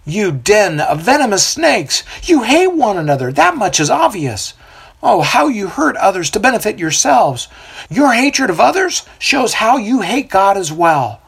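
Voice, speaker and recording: A storyteller voicing a fiery male desert prophet with a booming, coarse voice who preaches loudly to a crowd. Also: animated, measured pace. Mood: accusing.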